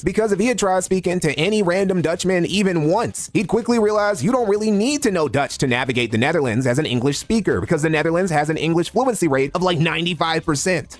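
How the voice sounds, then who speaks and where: A man narrates a scripted commentary with snark and open mockery. He reads very fast in a slightly raised voice. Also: sits high, no room sound. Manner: snarky, mocking.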